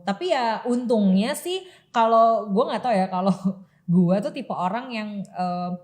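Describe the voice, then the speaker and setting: A woman's voice: mid-range voice, lively intonation, measured pace, darkish tone. Young Jakarta woman chatting casually. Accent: Jakarta Indonesian. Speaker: podcast co-host. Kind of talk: casual chat, personal storytelling.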